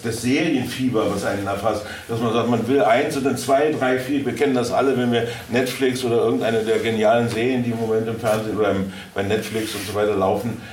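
Halting man in an echoey room. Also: mid-range voice, a little mellow. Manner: halting, searching for words with repeated words. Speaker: audio drama producer on a convention panel. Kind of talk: spontaneous panel talk. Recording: echoey room, distant mic, faint noise.

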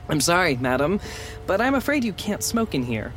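An actor playing a coolly courteous, unflappable hotel servant in a noir audio drama, stiff and deferential. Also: male voice, high-pitched, background noise, exaggerated voice.

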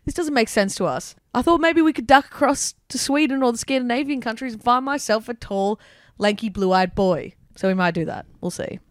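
A woman's voice: a little high, animated, a little fast, dry recording, clean studio sound. A young Australian woman with an Australian accent co-hosting a podcast, chatting casually and teasing her friend, full of fillers and swearing. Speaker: podcast co-host. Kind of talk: casual chat. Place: abroad, travelling in Italy.

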